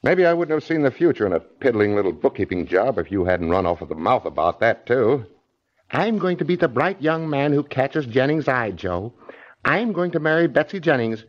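A man's voice: mid-range voice, big pitch swings, moderate pace, lightly acted. An American actor playing an arrogant, self-serving businessman in 1940s radio drama, brusque and impatient. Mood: scornful, brusque. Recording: dull, lo-fi old radio recording.

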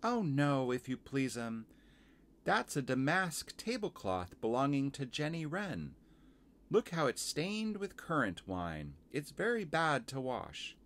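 A man's voice: medium pitch, sing-song, slow, fairly bright tone, voice pushed up, exaggerated voice. A man voicing a small, stout female hedgehog washerwoman in a children's storybook, fussy, chatty and eager to please. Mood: helpful, chatty. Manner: deferential, rustic servant phrasing, chatty.

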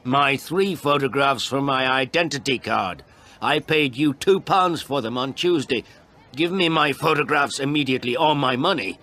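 A man's voice: mid-range voice, lively intonation, normal speed, fairly bright tone, voice pushed up, natural voice. A man voicing a stubborn, indignant farmer in his seventies, insistent and demanding, in a storybook reading. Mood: angry, insistent. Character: stubborn, indignant seventy-two-year-old male village farmer.

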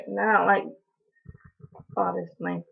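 A young woman from North Carolina, hoarse from allergies, chatting casually in a haul video with lots of ums and apologies, recorded on a close webcam in a small room. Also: medium pitch, fairly even intonation, slow pace, bright, forceful tone. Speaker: beauty vlogger. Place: small indoor room, in front of a door. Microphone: webcam at close range. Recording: tinny, phone-like sound.

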